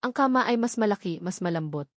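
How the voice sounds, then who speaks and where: A woman with a Filipino accent reads a scripted romance story as a storyteller, with sentimental, dramatic phrasing and pauses. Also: medium pitch, expressive, no room sound, studio quality.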